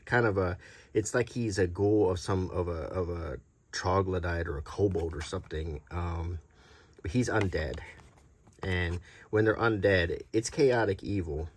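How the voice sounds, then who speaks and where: A middle-aged man doing a casual, unscripted show-and-tell as a video host, talking in a halting, rambling, conversational way. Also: sits low, no echo. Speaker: YouTube host showing vintage tabletop game monster cards.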